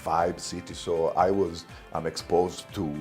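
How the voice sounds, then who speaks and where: A man in his thirties with an Italian accent, a business guest talking in an interview, animated but hesitant, with many fillers and restarts. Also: deep voice, a little slow, faint music behind. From Italy. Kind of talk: conversational interview. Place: studio set at a business club.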